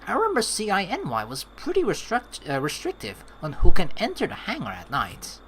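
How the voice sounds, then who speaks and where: Casting: young female let's player, lower-pitched, hugely varied intonation, playful read-aloud and chatter. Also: a little fast, a little hiss or hum, low-quality recording. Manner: playful, expressive. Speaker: let's play host. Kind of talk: reading game text aloud with casual commentary. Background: game music.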